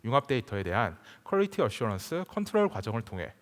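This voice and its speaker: A man giving a formal, prepared technical presentation at a conference, in measured, scripted phrasing. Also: mid-range voice, sing-song. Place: large conference hall stage. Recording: reverberant hall PA sound.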